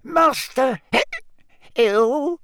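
A tiny female house-elf, deep in her cups, squeaks and coughs her way through every line, voiced by a man reading aloud. Her voice sits far above a normal speaking pitch and crawls along at a sluggish pace. The sound is close and dry, with no room around it. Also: lively intonation, drawn-out words, fairly bright tone, dry recording, heavily acted. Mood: tearful. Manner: squeaky, hiccuping and coughing, slurred. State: drunk. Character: drunken tearful small female house-elf.

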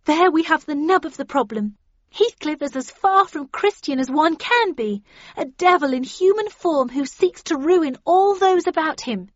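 Defiant Victorian daughter, as a woman narrator plays her, high, cutting, full of accusation, storybook drama. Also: expressive, slow, fairly bright tone, no echo, lo-fi sound, heavily acted. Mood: indignant. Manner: indignant, cutting.

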